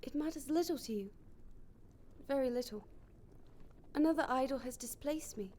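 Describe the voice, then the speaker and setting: A woman playing a sad, reproachful young fiancée, earnest and measured, in an audio play. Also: sits high, dark tone, a little hiss or hum, lightly acted.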